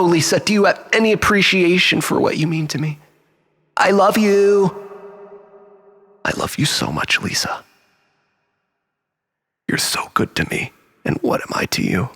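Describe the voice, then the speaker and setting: Man performing an unhinged bitter ex, gravelly husky voice, wildly swinging pitch, halting dramatic monologue. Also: a bit bright, full character voice. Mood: wounded, desperate. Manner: volatile, halting, swinging between breathy whispers and outbursts. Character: obsessive, bitter, unhinged jilted man ranting at his lover. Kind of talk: dramatic first-person monologue.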